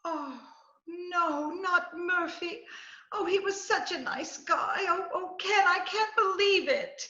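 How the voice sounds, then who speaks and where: A woman's voice: very high-pitched, slow, heavily acted. A woman acting a plucky, headstrong, scatterbrained lady reporter in a 1940s-style radio comedy, bossy and theatrical. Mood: dismayed. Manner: bossy, excitable, theatrical delivery. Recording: roomy, muffled, faintly noisy video-call recording.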